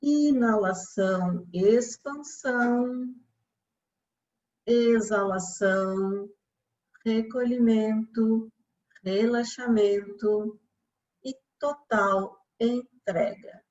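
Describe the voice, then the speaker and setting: Brazilian female yoga instructor, very unhurried and soothing, for guided relaxation; slightly muffled, lo-fi recording. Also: on the high side. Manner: calm, soothing instruction with long pauses.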